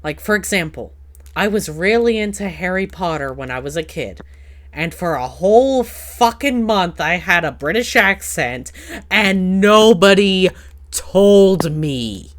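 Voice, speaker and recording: A woman's voice: fairly low pitch, expressive, a little slow. A woman hosting a casual podcast chat in a playful, teasing, rambling way. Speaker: podcast host.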